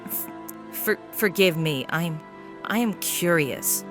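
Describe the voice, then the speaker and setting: An actress playing a polite, curious guest with a hesitant manner in an audio drama. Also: female voice, fairly low pitch, lively intonation, takes it slowly, over music.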